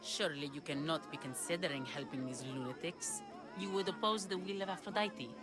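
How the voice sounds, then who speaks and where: Lowish, haughty actress as a stern priestess. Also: female voice, a little fast, lightly acted. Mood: cold warning. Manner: haughty, indignant. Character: stern, scornful priestess of Aphrodite. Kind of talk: dramatic video game dialogue. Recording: loud music, busy crowd behind.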